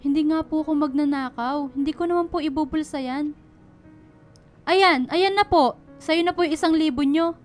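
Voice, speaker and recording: Woman acting humble hardworking laundry lady in a radio drama, highish voice, earnest, music underneath. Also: moderate pace, close to an everyday voice. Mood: hurt, protesting. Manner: polite, earnest, deferential. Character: honest, hardworking, humble laundrywoman and single mother.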